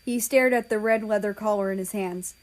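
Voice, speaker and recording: A woman's voice: medium pitch, steady tone, brisk. A woman reading a story aloud as scripted narration, an amateur audiobook reader.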